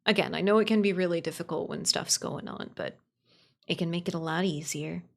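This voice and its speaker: A woman's voice: lowish voice, a little fast, creaky. A woman chatting casually on a livestream, answering viewers in a gushing way and repeating phrases for emphasis. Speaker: livestream host.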